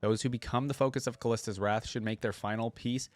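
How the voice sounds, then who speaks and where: A man's voice: mid-range voice, steady tone, fast, dry recording. A man hosting a reaction video, moving between casual commentary and reading aloud.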